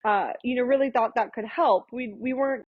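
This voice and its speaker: A woman in her thirties, a lawyer guest on a podcast, talking reflectively in a relaxed conversational way with many fillers and restarts. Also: on the high side, a little fast, no echo, highs cut off. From born in Thailand, raised in Florida and India. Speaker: guest, attorney.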